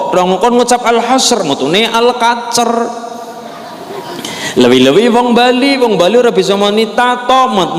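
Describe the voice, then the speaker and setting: A male preacher with a Javanese accent delivers a joking sermon, slowly and with a lively, swooping pitch. He acts out short exchanges between characters. His voice rings through a large hall with long reverb. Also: a little hiss or hum. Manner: jokey storyteller, acts out dialogues with voice shifts. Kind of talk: humorous Islamic sermon.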